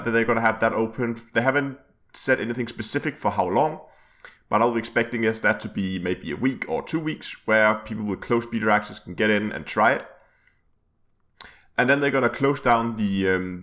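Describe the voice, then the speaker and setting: A man hosting his gaming channel's weekly news vlog, his voice a little rough, rambling with many fillers and restarts. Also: on the low side, a bit bright. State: slightly rough voice.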